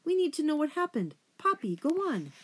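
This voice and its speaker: A worried mouse mother from a storybook, played by a woman narrator. Her voice is pushed high, with fretful, urgent exclamations. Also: big pitch swings, normal speed, a little mellow. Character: Sweet Cicely, fretful, concerned mother mouse.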